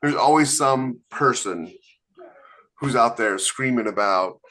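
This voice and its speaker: A man's voice: mid-range voice, slow pace, pushed and bright, rough recording. Blunt, emphatic male podcast co-host. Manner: blunt, emphatic, mock-sarcastic. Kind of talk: casual panel chat.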